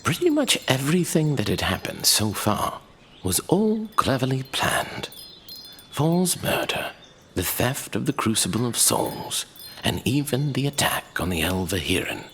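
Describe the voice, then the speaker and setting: A man's voice: mid-range voice, very animated, measured pace, gravelly, fairly bright tone, slight background noise. A man narrating a scripted fantasy saga as a dramatic storyteller.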